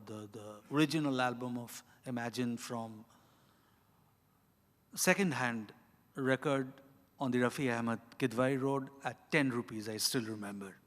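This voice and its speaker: A middle-aged man with an Indian accent, an author giving reflective, deliberate, halting answers with emphasis on key words. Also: mid-range voice, fairly bright tone. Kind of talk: reflective spoken answer at a live literary event. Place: large indoor event hall. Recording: echoey hall with long reverb.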